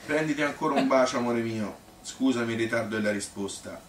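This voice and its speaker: A man's voice: mid-range voice, fairly quick. A man with a Tuscan accent, a stage actor reading old love letters aloud in a theatrical monologue. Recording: roomy, noisy, distant stage recording.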